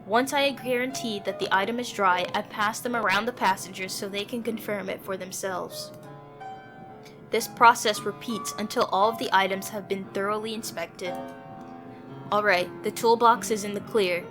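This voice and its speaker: Woman reading a story game over music. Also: medium pitch. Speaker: player reading a visual novel aloud. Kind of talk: dramatic reading of narration and character dialogue. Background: background music.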